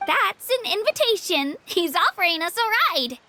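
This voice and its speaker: Tiny chirpy game girl, super high voice, friendly and earnest, music playing behind. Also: sing-song, normal speed, pushed and bright, slight background noise, heavily acted. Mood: friendly explaining. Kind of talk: video game voice acting.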